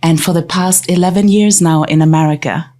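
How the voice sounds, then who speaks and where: A woman from Austria, a podcast guest, telling her family's story in halting speech with many pauses. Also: lowish voice, steady tone, warm tone. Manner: halting, many ums, laughs easily.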